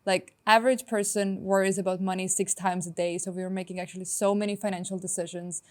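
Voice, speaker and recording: A woman's voice: medium pitch, little pitch movement. Upbeat young woman from Estonia. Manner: upbeat, quick, many "like" fillers. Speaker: startup founder and guest. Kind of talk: casual interview answers.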